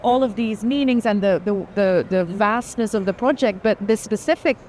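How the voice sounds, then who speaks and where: A woman's voice: medium pitch, moderate pace, a little mellow. A woman speaking as an art curator in a thoughtful, unscripted discussion, qualifying and repeating words as she thinks. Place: indoor art fair, walking among stands. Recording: faint busy fair hall noise.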